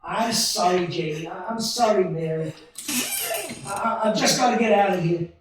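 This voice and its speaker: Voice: medium pitch, moderate pace, heavy background noise, natural voice. A short-tempered husband and father at breaking point, played by an actor in a vintage-style radio drama. The voice is heard off mic in a heavily echoing space. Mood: contrite, pleading. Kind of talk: 1940s-style radio play acting. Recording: very echoey room, distant from microphone.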